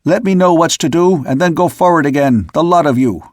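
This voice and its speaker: A man playing a stern, husky second mate. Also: medium pitch, a little slow, no echo, studio quality. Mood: sharp, commanding. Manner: sharp, commanding. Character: stern, authoritative second mate of a sailing ship. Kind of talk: audiobook character voice.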